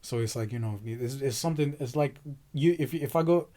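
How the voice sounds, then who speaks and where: A young man whose first language is Portuguese, chatting casually as a podcast host on a boom-arm studio mic in a small home room, slangy and laid-back. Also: fairly quick, dark tone. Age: young adult. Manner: laid-back, slangy, says "you know what I mean" often. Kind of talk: casual chat.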